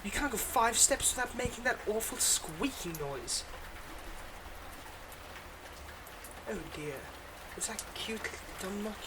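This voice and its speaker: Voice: mid-range voice, natural voice. A performer in an audio roleplay playing a theatrical, sarcastic supervillain who teases and grumbles with mock condescension, over a noisy background. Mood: teasing, mocking. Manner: mock-condescending, dramatic, chatty asides. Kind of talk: scripted audio roleplay monologue.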